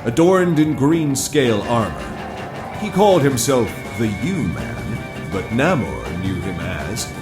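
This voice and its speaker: A man's voice: medium pitch, big pitch swings, takes it slowly. A man giving dramatic scripted narration for an audio drama. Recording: loud music behind.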